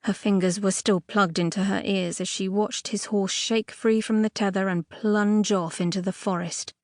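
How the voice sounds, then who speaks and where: A woman reading a storybook fairy tale as a scripted audiobook narration, expressively and a little primly, with dramatic emphasis and pauses. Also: medium pitch, fairly even intonation, a little mellow, no room sound. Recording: dry close studio audiobook recording.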